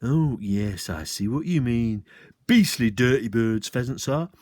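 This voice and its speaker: A man's voice: medium pitch, expressive, unhurried, darkish tone, dry recording. A man voicing a sly, commanding village policeman who speaks in a bluff, hearty manner. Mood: mock-helpful.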